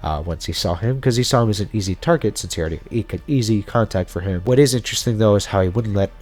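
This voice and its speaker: A man's voice: lowish voice, quick pace, darkish tone. A man giving casual explainer commentary as a video host, talking through theories in an informal, speculative way. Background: background music.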